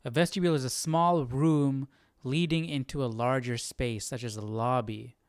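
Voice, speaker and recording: A man's voice: mid-range voice, fairly even intonation, moderate pace, clean tone, dry recording, studio quality. A young man hosting a casual livestream study, thinking aloud and repeating phrases as he goes. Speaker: Bible study livestream host. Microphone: close handheld or desk microphone with headphones.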